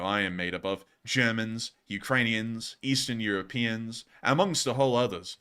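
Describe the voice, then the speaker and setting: Bright-voiced man with a put-on British accent. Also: on the low side, smooth. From United States. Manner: wordy, emphatic, formal flourishes. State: fatigued voice. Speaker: livestream host of a news channel. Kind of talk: livestream answering viewer questions.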